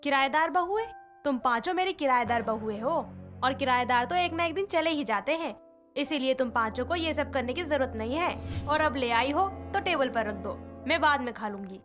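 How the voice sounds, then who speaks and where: A woman voicing a wary older mother-in-law and landlady in a storybook tale, over soft background music. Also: sits high, lively intonation, moderate pace. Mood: cool. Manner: anxious, pleading.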